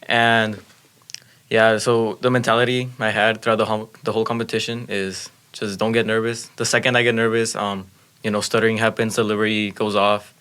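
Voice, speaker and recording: Teen male student, native Spanish speaker, deep monotone delivery, earnest and explanatory. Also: fairly quick, smooth. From Mexico. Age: teens. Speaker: student speech competitor. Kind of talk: casual chat.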